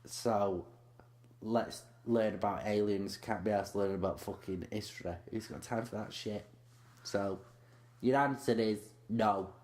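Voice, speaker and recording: A young man co-hosting a comedy podcast, sweary and deadpan, teasing his friend. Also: fairly low pitch, measured pace, a little hiss or hum. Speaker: podcast co-host. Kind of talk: casual comedy podcast chat.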